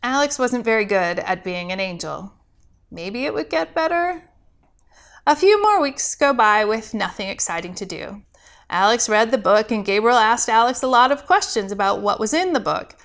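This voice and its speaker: Animated woman storyteller with a fairly high voice. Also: normal speed. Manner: expressive, dramatic reading. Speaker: pastor, storyteller. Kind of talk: scripted story narration.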